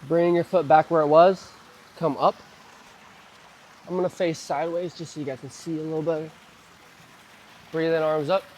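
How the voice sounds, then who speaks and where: A man leading a guided mobility flow, calmly cueing each move and breath, his voice slightly hoarse as he recovers from a cough. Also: a little high, moderate pace, pauses often, a little hiss or hum, rough recording. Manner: calm, gentle cueing of moves and breaths. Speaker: mobility and stretching instructor.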